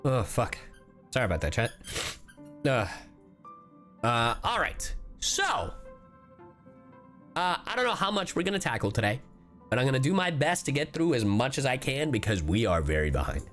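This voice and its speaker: A man streaming a video game live, chatting casually in an animated, exclamatory way with some swearing. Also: medium pitch, normal speed, long pauses, faint music behind. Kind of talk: casual livestream chat and game reactions.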